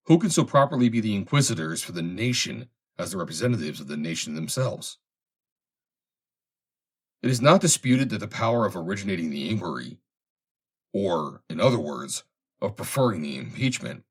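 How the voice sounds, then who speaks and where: A man reading a formal historical essay aloud in a measured, deliberate way. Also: low-pitched, breathy edge. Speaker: reader, narrator.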